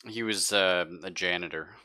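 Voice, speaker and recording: A man improvising as game master of a tabletop roleplaying game, halting as he thinks aloud. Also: deep voice, bright, forceful tone, clean tone.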